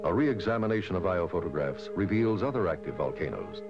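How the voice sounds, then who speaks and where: A man reading scripted documentary narration in a measured, formal way, on a dated soundtrack. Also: low voice, very animated. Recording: dull, dated lo-fi film soundtrack. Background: music.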